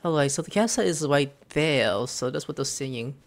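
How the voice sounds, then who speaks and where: Casting: young male gaming host, casual commentary, very animated intonation, small-room recording. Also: a little high, normal speed, gravelly. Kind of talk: casual gameplay commentary, reading dialogue choices aloud. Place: small room indoors at a computer.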